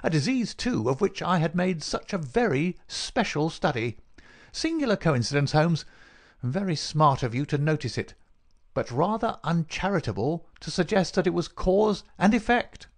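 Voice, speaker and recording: Casting: gloating storybook villain, performed by a male narrator, raised pitch, swooping, mocking and cruel. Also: sing-song, normal speed. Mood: smug. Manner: taunting, insistent, rhetorical questioning.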